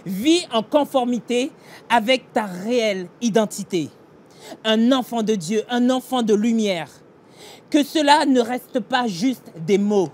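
A middle-aged man pastor giving an earnest devotional meditation and prayer, speaking straight to the listener in a small indoor room. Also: very high voice. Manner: earnest, direct address to the listener, emphatic gestures. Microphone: facing a fixed camera, mid-shot.

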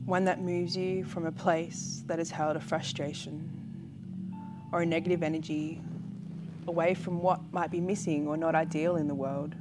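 Low-pitched woman leading meditation over music. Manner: gentle, calm, unhurried with long pauses. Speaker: guided meditation leader. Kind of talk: guided pregnancy relaxation meditation, spoken slowly and soothingly. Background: background music.

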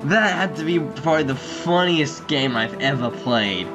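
A young man rambles excitably through a playthrough at a home desk, giggling between thoughts. His voice sits at a middling pitch. Game music runs clearly behind him. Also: unhurried, highs cut off. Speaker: gaming YouTuber. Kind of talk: let's play commentary. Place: home room.

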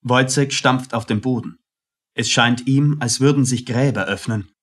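A man's voice: mid-range voice, a little slow, fairly bright tone. A man reading scripted scene narration for a radio play.

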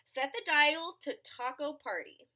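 A young adult woman reading a picture book aloud to children in an expressive, dramatic and playful way. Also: sits high, measured pace. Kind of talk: animated children's read-aloud. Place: small furnished room at home.

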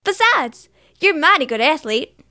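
A woman's voice: high-pitched, very animated, moderate pace, sharp tone, full character voice. A woman voicing an honest, hardworking female cartoon farm pony with a Southern US drawl and a plainspoken manner. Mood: friendly. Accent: Southern US (put-on). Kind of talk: cartoon voice acting reel.

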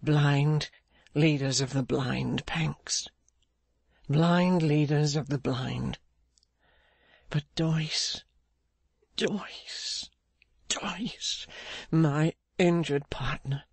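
Audiobook character, acted by a narrator: gentle gentleman crushed by guilt, slow, weary, repeating himself in sorrow. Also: mid-range voice, creaky, husky, dry recording, heavily acted. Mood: broken grief.

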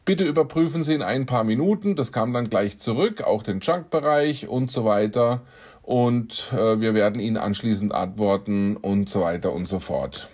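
A man's voice: fairly low pitch, moderate pace, darkish tone. A man hosting his car channel, reading out scam messages and telling the story in a dry, wry way. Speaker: car dealer and channel host. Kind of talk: casual narration, reading out messages.

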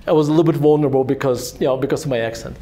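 A man's voice: medium pitch, rapid-fire, dark tone. A man in his forties with a Russian accent, speaking as a guest at a fireside chat in a synagogue hall, telling rambling self-deprecating stories full of restarts. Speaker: author and guest. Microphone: handheld microphone.

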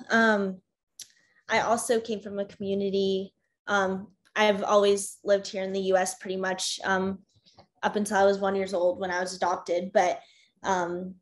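A young American woman hosting a casual video-call discussion, talking with many fillers and soft laughs. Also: medium pitch. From United States. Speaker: discussion host. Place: home room with bookshelves. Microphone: webcam on a video call.

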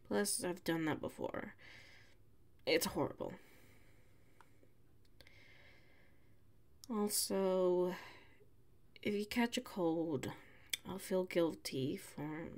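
A woman voicing a prickly, haughty young tsundere classmate with a hidden soft side, in an ASMR audio roleplay. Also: medium pitch. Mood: reluctantly caring. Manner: haughty, huffy, sighing, drawn-out phrasing.

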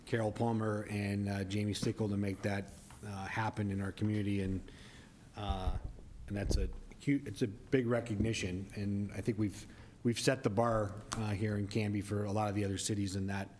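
A man chairing a city council meeting as mayor, relaxed and joking, with many fillers. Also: on the low side, normal speed, a little hiss or hum. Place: council chamber dais. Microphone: desk mic.

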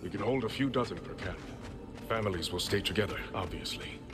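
Man as deep, practical warrior. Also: fairly quick, music in the background, natural voice. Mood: matter-of-fact. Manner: matter-of-fact. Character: practical, reassuring warrior organising refugee camps. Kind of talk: game cutscene acting.

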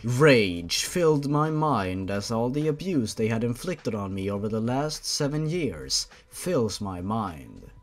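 A man's voice: medium pitch, sing-song, slow, hardly any pauses. Theatrical male storyteller with swooping intonation. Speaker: host of a weekly fan fiction reading series. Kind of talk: dramatic reading of fan fiction over gameplay.

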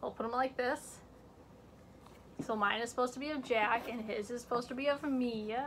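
Chatty high-voiced teen girl vlogger. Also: normal speed, noisy background. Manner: chatty, joking, self-mocking asides; drops to hushed whispers while showing things up close. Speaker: vlog host. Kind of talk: casual chat. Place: indoor home room with shelves.

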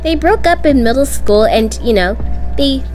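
A woman's voice: sits high, animated, normal speed, a little mellow. A young woman doing amateur roleplay voice acting of school drama, with slangy, dramatic teen-girl dialogue. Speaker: video creator voicing all characters of a school roleplay story. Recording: music behind the voice. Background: background music.

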